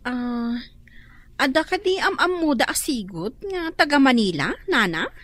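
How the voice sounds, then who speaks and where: An actress in a radio drama playing a young woman who has just graduated, speaking politely and in a lively way. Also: high-pitched, measured pace, dry recording, full character voice.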